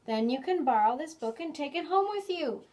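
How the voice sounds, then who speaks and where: Little girl librarian for a picture book voiced by a woman: gentle, helpful, slightly high. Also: expressive, moderate pace. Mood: kindly.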